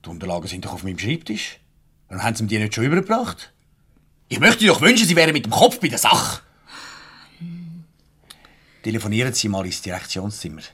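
Husky, brusque Swiss office boss. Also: male voice, lowish voice, wildly swooping pitch, a little fast, pauses often, fairly bright tone, exaggerated voice. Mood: irritated. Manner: curt, reproachful. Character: brusque, irritable male office boss, jealous husband. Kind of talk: Swiss radio comedy acting, 1970s.

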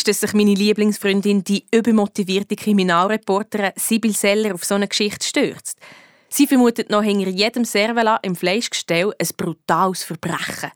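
A woman's voice: medium pitch, dry recording, clean studio sound, close to an everyday voice. Wry Swiss German girl narrator played by a woman. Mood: sarcastic. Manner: colloquial, wry. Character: Lisa, wry young girl detective telling her adventure. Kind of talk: first-person radio play narration.

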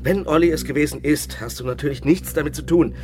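An actor playing a matter-of-fact, evasive defence lawyer in a German radio crime drama. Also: male voice, a little high, fast talker, darkish tone, soft background music, lightly acted. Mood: calculating.

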